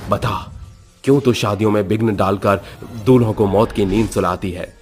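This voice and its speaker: A commanding holy man in a horror cartoon, played by a man. He speaks low and steady. He threatens without raising his voice much. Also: moderate pace, slightly rough, soft background music. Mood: stern.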